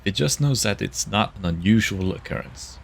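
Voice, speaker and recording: A man playing a guarded, matter-of-fact investigator in a sci-fi audio drama, with a dry, measured delivery. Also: on the low side, expressive, gravelly, husky, full character voice.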